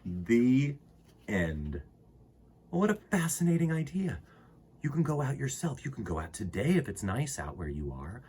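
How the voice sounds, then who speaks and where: A man with a husky voice reading aloud to children as a storytime host, expressively, with dramatic emphasis and pauses. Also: mid-range voice, big pitch swings, a little mellow. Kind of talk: animated picture-book read-aloud for children.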